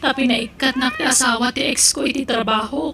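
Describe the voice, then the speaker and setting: A woman's voice: high voice, fairly quick, sharp tone. A woman playing a headstrong, needy younger sister in an Ilocano radio drama, petulant and pleading, with an Ilocano Filipino accent.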